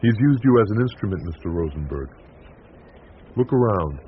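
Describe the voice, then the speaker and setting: A man plays a steadfast, forgiving priest in an eighties radio drama. His voice is fairly low, solemn and sincere. The dull broadcast recording carries faint noise. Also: animated, normal speed, close to an everyday voice. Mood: earnest, solemn. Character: earnest, forgiving male American priest, steadfast believer. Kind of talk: 1980s radio drama acting. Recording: muffled, noisy old radio broadcast recording.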